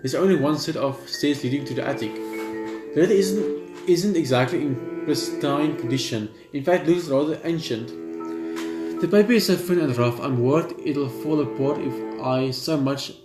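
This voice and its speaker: Young male let's player, halting narration, echoey room, clear background music. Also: fairly high pitch. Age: young adult. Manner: halting read-aloud, stumbling over words. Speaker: gaming video host. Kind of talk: let's play, reading visual novel text aloud. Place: indoor room at home. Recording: roomy echo under game music.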